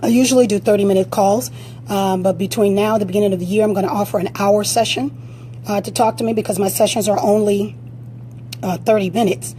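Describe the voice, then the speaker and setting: A woman fitness coach with a raspy voice, giving blunt, direct motivational advice during a live-stream Q&A on a phone. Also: mid-range voice, fairly even intonation, a little fast, warm tone, slight background noise.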